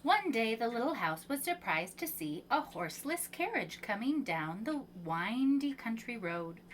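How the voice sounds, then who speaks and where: Slow, higher-voiced woman reading a storybook. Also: very animated, slight background noise, low-quality recording. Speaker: preschool storyteller. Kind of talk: reading a children's picture book aloud.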